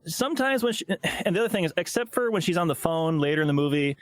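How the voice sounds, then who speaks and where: A man's voice: on the high side, animated, fast, no room sound. A man co-hosting a podcast, chatting casually about a movie, often repeating himself and restarting sentences. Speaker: podcast co-host.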